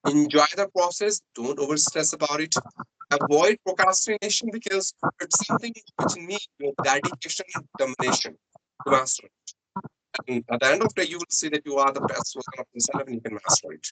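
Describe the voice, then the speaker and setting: A man's voice: fairly high pitch, brisk, noisy background. A man with an Indian English accent, coaching English in an earnest, explanatory way on a video call. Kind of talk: online conversation practice.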